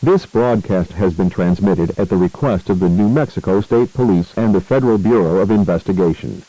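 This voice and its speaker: Low, mellow male emergency announcer. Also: no echo, rough recording. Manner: formal, grave, measured. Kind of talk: scripted emergency alert narration.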